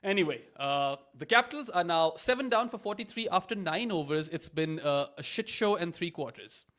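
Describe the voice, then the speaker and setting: A young adult man hosting a live cricket watchalong, calling play-by-play with a booming voice and chatty asides. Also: animated, fairly quick, fairly bright tone. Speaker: watchalong host.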